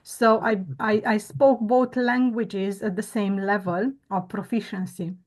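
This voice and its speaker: A woman's voice: mid-range voice, steady tone. Earnest woman. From Romania. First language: Romanian. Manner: earnest, reflective, with frequent "uh" and "um" pauses. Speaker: guest. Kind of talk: conversational interview storytelling.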